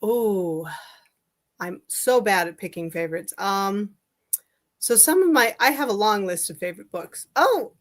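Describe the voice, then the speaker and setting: A woman's voice: medium pitch, lively intonation, a little slow. A woman chatting casually as an interview guest, a little hesitant as she searches for words. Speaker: guest writer.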